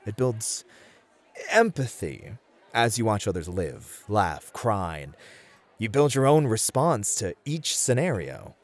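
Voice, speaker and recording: A man's voice: fairly low pitch, very animated, slow. A man playing a suave, polite club host in an ASMR roleplay, flirtatious and courtly as he teases and mentors. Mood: smooth, encouraging.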